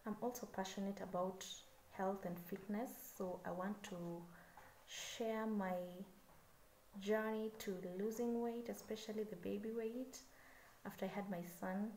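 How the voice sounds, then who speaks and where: A woman in her twenties with a Kenyan accent talks straight to camera in a relaxed, chatty way, a touch nervous and full of "so yeah" fillers. Her voice is mellow and soft-edged. The sound bounces around a small room, with a faint hiss behind it. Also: medium pitch, measured pace, reverberant, low-quality recording. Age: 20s. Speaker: vlogger. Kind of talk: casual self-introduction to camera.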